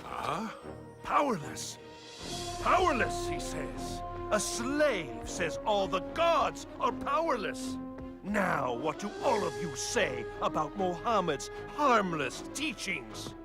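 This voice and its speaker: Sneering cartoon villain, scheming chieftain, highish voice, wildly swooping delivery, theatrical, scornful. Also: male voice, wildly swooping pitch, full character voice. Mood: mocking, outraged. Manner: theatrical, sneering, drawn-out emphasis. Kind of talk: animated film voice acting. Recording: loud music behind the voice.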